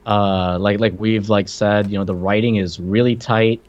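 A man co-hosting a podcast, casually giving his opinions on a film in halting conversation, on slightly dull audio. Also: on the low side, steady tone. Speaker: podcast co-host reviewing films.

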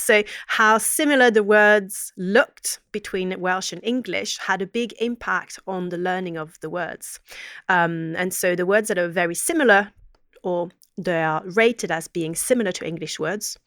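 A woman with a fairly low voice talks through her research study on a podcast. She speaks casually and thoughtfully, with plenty of fillers and restarted sentences. The recording is dry and close, with no room sound. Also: a bit bright, no echo. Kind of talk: casual explanatory chat.

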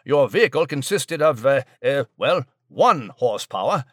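A man's voice: medium pitch, big pitch swings, normal speed, a bit bright, no echo, clean studio sound. A man playing a pompous, florid newsroom reporter delivering a chatty mock news report. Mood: jovial, pompous.

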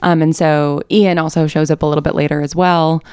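A woman with a fairly low voice talks as a guest in a casual interview. She is tentative as she explains, and the sound is very dry and close. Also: little pitch movement, brisk, darkish tone, clear, resonant tone, no room sound.

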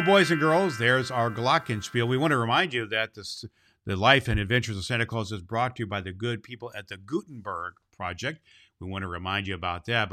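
A man plays a jolly Santa Claus hosting a children's show. His voice is bright and forceful at a middling pitch. He talks to boys and girls in a cheery, folksy storyteller's way. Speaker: host and storyteller.

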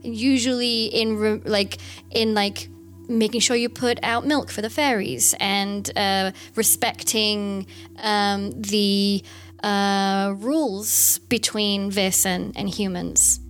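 A woman's voice: mid-range voice, little pitch movement, slow, soft background music. A woman running a tabletop game as game master, explaining rules casually with many hesitations.

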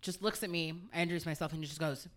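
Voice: medium pitch, steady tone, rapid-fire, a bit bright. A person with a woman's voice chatting candidly and casually on a podcast as a co-host, swearing freely. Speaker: schoolteacher.

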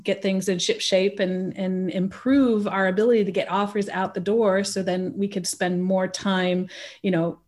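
An American woman from California, a pricing consultant chatting as an interview guest. Her voice sits at an ordinary pitch, and she laughs often between points while telling her story. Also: level tone, normal speed, a little mellow. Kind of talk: casual interview conversation.

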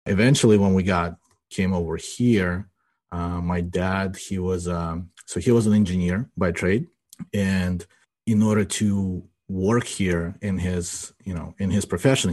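A man's voice: low-pitched, fairly even intonation, a little slow, a little mellow, breathy edge. A man in his forties from Ukraine, a guest in a casual podcast interview, rambling and tagging his sentences with "you know" and "right?". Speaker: guest, IT business owner.

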